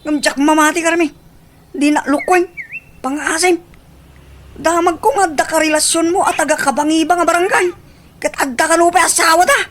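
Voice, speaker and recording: An accusing village gossip, super high voice, ilocano radio comedy. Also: female voice, steady tone, moderate pace, long pauses, fairly bright tone, a little hiss or hum.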